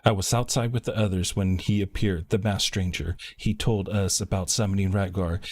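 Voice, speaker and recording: A man casually commentating a game livestream and reading quest text aloud in a low-key way, with small stumbles and a husky voice. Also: fairly even intonation, normal speed.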